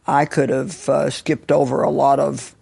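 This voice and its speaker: A man's voice: medium pitch, monotone, measured pace, vocal fry, a little mellow, husky. A man in his seventies, a minister and author, talking frankly and reflectively on a chat show. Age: 70s. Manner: reflective, candid, frank storytelling with self-deprecating humor. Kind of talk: casual talk-show chat.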